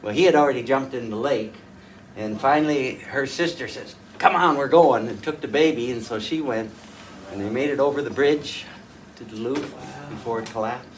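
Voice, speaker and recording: A man's voice: mid-range voice, moderate pace. Older man telling family stories from afar. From rural northwest North Dakota. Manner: rambling, folksy, with frequent uh's and restarts. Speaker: folk fiddler giving a talk. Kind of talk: casual storytelling to a small audience. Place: room at a folk fiddlers' gathering. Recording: distant mic, faint room noise.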